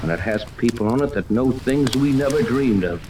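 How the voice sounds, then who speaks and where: An actor playing a commanding, level-headed yacht captain in a 1930s radio serial, speaking with deliberate authority. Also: male voice, medium pitch, animated, warm tone, lightly acted. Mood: grave, deliberate. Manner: authoritative, deliberate, gives orders. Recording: noisy 1930s radio, rain ambience over it.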